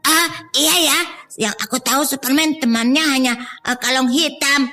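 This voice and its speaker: A woman's voice: high voice, normal speed, pushed and bright, pitched below the performer's own voice. A woman voicing a naive, hesitant young boy who loves superheroes, in a children's audio story. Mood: sheepish.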